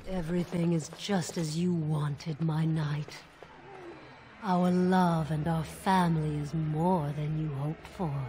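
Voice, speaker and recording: A woman's voice: low voice, fairly even intonation, slow, mellow. A woman playing a possessive, seductive desire demon in a fantasy video game, silky and coldly defiant. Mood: tender, coaxing.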